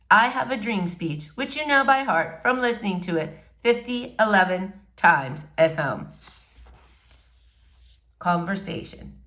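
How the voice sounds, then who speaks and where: A woman's voice: medium pitch, fairly bright tone. Woman reading a story aloud expressively. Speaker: audiobook-style reader. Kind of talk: expressive read-aloud of a verse novel.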